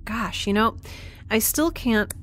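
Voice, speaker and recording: A woman's voice: on the high side, slow pace, fairly bright tone, slight background noise. A woman playing a sarcastic, defiant young spaceship dweller in an audio drama, with a flippant, casual delivery. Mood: breezy.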